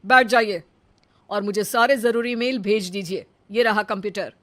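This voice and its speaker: A woman's voice: mid-range voice, normal speed, fairly bright tone, natural voice. A woman voicing an exasperated but polite male office boss in a comic cartoon story, instructing the people around him.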